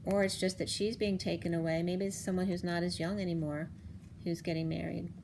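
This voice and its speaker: A woman reading tarot cards for viewers in a casual, rambling way, her voice going hoarse. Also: on the low side, level tone, background noise. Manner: rambling, halting restarts. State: losing her voice. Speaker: tarot reader. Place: home room, table of cards. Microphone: camera on the cards, speaker off screen.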